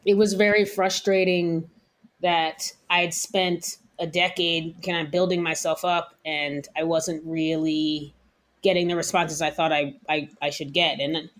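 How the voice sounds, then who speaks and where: Low-voiced American chef in conversation. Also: female voice, little pitch movement, unhurried. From United States. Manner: thoughtful, candid, laughs easily. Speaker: chef, guest. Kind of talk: casual interview talk.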